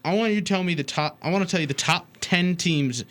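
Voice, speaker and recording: A man's voice: sits high, fast talker, a bit bright. A man co-hosting a sports talk show, casually debating and putting challenging questions to his partner.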